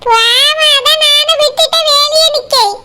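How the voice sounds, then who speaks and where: A woman's voice: extremely high pitch, moderate pace, sharp tone, full character voice. A woman voicing a chatty, placating village fish-seller aunty in a comic cartoon, with a Kanyakumari Tamil accent. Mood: gossipy, placating. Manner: gossipy, placating.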